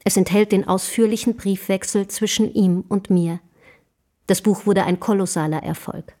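An actress as a young Romantic-era woman writer, ardent and defiant. She speaks in an effusive, self-dramatizing way with formal, old-fashioned wording, at a medium female pitch, as in a radio play. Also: steady tone, normal speed, dark tone, lightly acted. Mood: wistful, proud narrating. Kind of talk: German radio drama acting.

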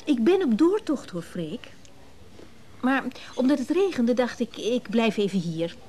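A woman's voice: fairly high pitch, sing-song, unhurried, warm tone. An actress playing a smitten, forward married woman, coaxing and breezy, in a radio drama. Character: smitten, forward, well-heeled married woman pursuing an older man.

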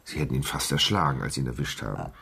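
A man's voice: very deep voice, animated, quick pace, creaky, bright, forceful tone, slightly rough, exaggerated voice. An actor playing a hesitant, friendly male confidant in a radio drama. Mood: concerned. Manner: hesitant, conversational.

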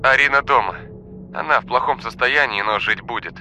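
A man's voice: mid-range voice, fairly quick, bright, forceful tone, soft background music, voice pushed up. A man voicing an agitated young man calling on the phone. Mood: urgent. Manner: agitated, urgent.

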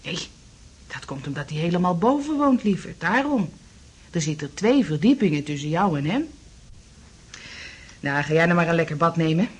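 A woman's voice: on the low side, lively intonation, moderate pace, long pauses, husky. An actress in a radio drama playing a kindly, fussing, chatty landlady. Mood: motherly, chatty.

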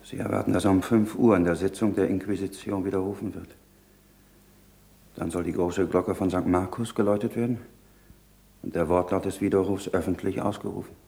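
A grave, gravelly, anxious disciple. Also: male voice, low voice, fairly even intonation, brisk, mellow. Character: anxious loyal male disciple of a condemned scientist. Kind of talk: German radio play acting.

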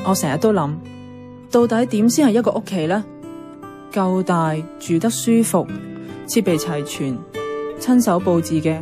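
A woman's voice: lowish voice, lightly acted. An actress with a Hong Kong Cantonese accent playing a sentimental young woman in a radio drama, narrating and acting. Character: sentimental young woman about to part from her love. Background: music, plucked guitar.

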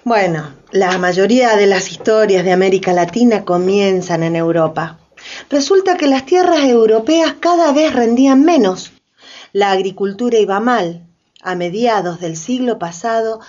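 A woman's voice: medium pitch, lively intonation, normal speed, darkish tone, lightly acted. A woman narrating history in a scripted radio drama. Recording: dull lo-fi, faint background noise.

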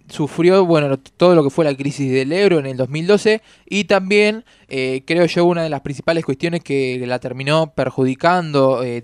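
A man co-hosting a talk show, analyzing political news in a measured, hesitant way with many fillers. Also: a little high, clear, resonant tone, no echo, clean studio sound. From Argentina. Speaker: radio co-host.

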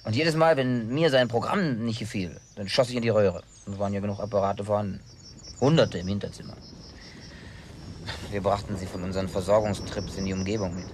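Low-voiced grumbling male survivor played by an actor. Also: steady tone, a little fast, slight background noise, natural voice. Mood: wry, nostalgic. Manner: colloquial, grumbling storyteller. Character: Jim, stubborn simple-hearted male survivor recounting disaster with wry humor. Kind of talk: German radio play dialogue.

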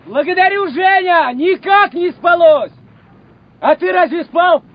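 Young lieutenant, very high pitch, emphatic, friendly, war radio play, muffled noisy recording. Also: male voice, unhurried. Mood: eager, friendly. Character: eager, friendly young male artillery lieutenant.

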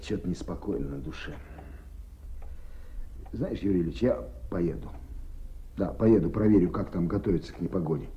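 An actor playing a restless city party chief in a radio drama, commanding yet familiar, given to rhetorical questions. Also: male voice, on the low side, mellow, lo-fi sound. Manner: conversational, authoritative, rhetorical questions. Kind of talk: 1970s Soviet radio drama acting.

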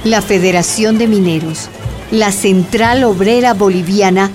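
A woman's voice: medium pitch, unhurried, over music, natural voice. A woman narrating a scripted radio drama in a grave, dramatic storytelling manner.

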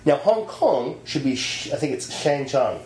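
A man's voice: mid-range voice, sing-song, normal speed, darkish tone. A man in casual chat, ranting with lots of energy and swearing freely. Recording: roomy echo, faint hum.

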